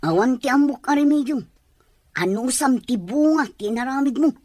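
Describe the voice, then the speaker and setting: A woman's voice: high-pitched, lively intonation, moderate pace, darkish tone, no echo, studio quality. A woman playing a blunt, motherly older village woman in a serial drama. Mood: scolding. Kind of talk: Ilocano radio-style serial drama acting.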